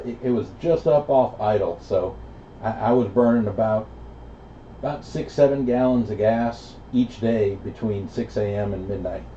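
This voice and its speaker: A middle-aged American man chatting casually over CB radio in a laid-back, halting way. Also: fairly low pitch, warm tone. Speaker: amateur radio operator. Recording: echoey, muffled, noisy CB radio reception.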